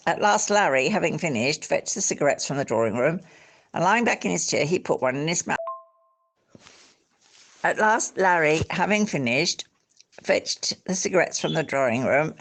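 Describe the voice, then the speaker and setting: Creaky-voiced Australian storyteller reading aloud. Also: medium pitch. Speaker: audiobook-style reader. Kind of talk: expressive literary storytelling read aloud.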